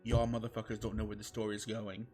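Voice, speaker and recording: A man's voice: on the low side, completely flat delivery, fast talker, a little mellow, soft background music. A man livestreaming a comic story reading, chatting casually with his viewers in an irreverent, sweary manner. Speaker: streamer, fan fiction reader.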